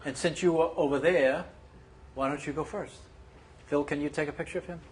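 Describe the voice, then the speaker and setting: A man's voice: a little high, steady tone, fairly quick, slight background noise, low-quality recording. A man organizing a club meeting, giving members casual, directive instructions with some fillers.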